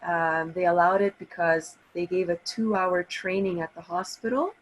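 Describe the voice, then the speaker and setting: A woman's voice: fairly low pitch, steady tone, normal speed, poor audio. A middle-aged woman from Canada, talking casually and personally as a participant on an online call through a laptop. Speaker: participant calling in, massage therapist. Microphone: laptop on an online call, no camera.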